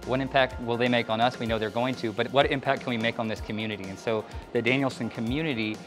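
An upbeat middle-aged man walks the halls of a school and explains it on a handheld mic. His voice sits at an ordinary pitch. Music plays clearly behind him. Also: fairly even intonation, fast talker, a bit bright. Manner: upbeat, explanatory, walking while talking. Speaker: school principal leading a tour. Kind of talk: casual guided tour talk. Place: indoor school halls and classrooms. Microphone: handheld microphone.

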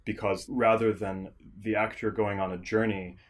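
A man with a husky, fairly low voice talks as an interview guest. He explains ideas in a measured, articulate, analytical way, like a scholar who also directs. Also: level tone. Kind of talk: thoughtful analytical interview talk.

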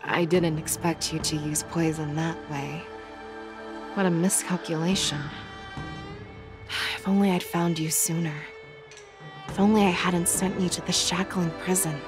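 A woman playing a stern, weary, protective general in an anime game, measured and grave. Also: lowish voice, fairly even intonation, music in the background, natural voice. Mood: regretful.

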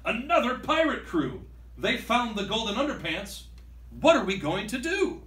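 Guy doing a bossy excitable pirate captain for kids, loud n swoopy, echoey room. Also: extremely high pitch, normal speed, distant mic, pitched above the performer's own voice, exaggerated voice. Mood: dismayed. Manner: loud, exclamatory, commanding. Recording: echoey classroom from afar, faint noise.

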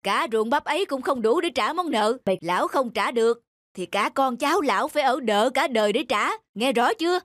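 A man's voice: extremely high pitch, animated, brisk, fairly bright tone, no echo, studio quality. A man playing an arrogant, greedy rich landlord in a cartoon folk tale, haughtily barking orders. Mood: domineering.

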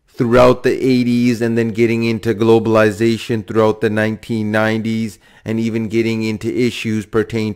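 A man's voice: lowish voice, clean tone. A man giving political analysis in a wordy, repetitive way, stretching phrases out for emphasis. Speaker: political commentator.

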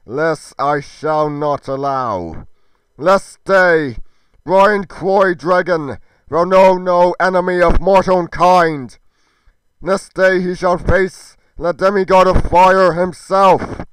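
A man's voice: sits high, clean tone, no room sound, voice pushed up, poor audio. A man voicing an arrogant, wrathful half-giant fire demigod in a game roleplay, declaiming in pompous archaic speech. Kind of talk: theatrical villain monologue in amateur game roleplay.

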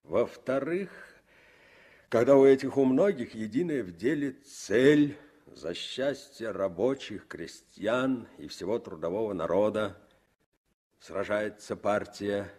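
An actor playing a friendly, earnest navy commissar who explains things simply and patiently in a 1960s Soviet radio drama. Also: male voice, on the low side, animated, slow pace, mellow, husky, full character voice.

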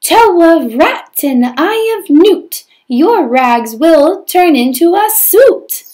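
A woman's voice: very high-pitched, sing-song, slow, a little mellow, pitched above the performer's own voice, exaggerated voice. A woman voicing a bungling little fairy godmother from a children's storybook, chanting her spells emphatically. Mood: incanting. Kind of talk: children's storybook read-aloud.

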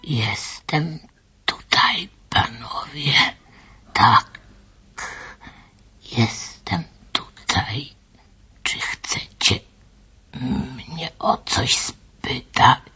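An actor voicing the eerie spirit of a long-dead Japanese guide in a radio play, half-whispering in halting, broken phrases. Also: mid-range voice, expressive, pushed and bright, lo-fi sound, exaggerated voice. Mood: eerie, strained. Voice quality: raspy, breathy, half-whispered.

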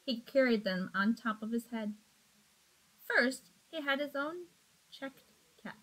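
High-voiced woman reading slowly to children. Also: rough recording. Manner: expressive, deliberate, asks the young listeners questions. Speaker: storybook reader. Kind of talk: children's picture book read-aloud. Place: small indoor room with block walls. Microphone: webcam or laptop at arm's length.

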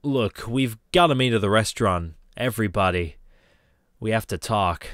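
A calm, earnest reporter, played by a man, with a low voice recorded dry and close. He speaks plainly and sincerely. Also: normal speed, no room sound, lightly acted. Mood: urgent. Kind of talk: fan audio drama.

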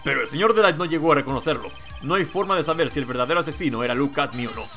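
A man's voice: a little high, lively intonation, rapid-fire, bright, forceful tone, faint music behind, voice pushed down. A man voicing a cool, skeptical male prosecutor from a video game, terse and dismissive.

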